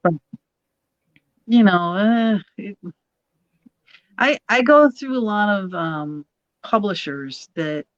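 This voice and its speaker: Gravelly massachusetts lady chatting, blunt, rambles, muffled dry recording. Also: mid-range voice, slow, pauses often, no room sound. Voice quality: rough voice. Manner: blunt, laughs easily, rambling restarts. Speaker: paranormal radio show host. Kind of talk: casual chat.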